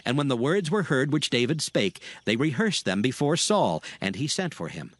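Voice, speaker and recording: Animated male scripture narrator. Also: medium pitch, normal speed, no room sound.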